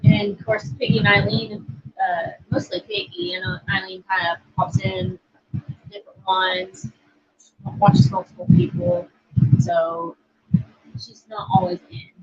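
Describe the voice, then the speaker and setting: A woman's voice: medium pitch, fairly even intonation, a little slow, slightly rough, highs cut off. A woman from Oklahoma co-hosting a casual livestream, rambling and reading viewer comments aloud. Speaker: livestream co-host.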